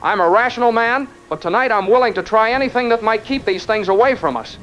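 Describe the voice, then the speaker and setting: A man's voice: high-pitched, quick pace, fairly bright tone. A man playing a shaken but rational caller, giving an earnest, tense eyewitness account in a radio drama. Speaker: phone-in caller. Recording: dull, band-limited phone-in sound.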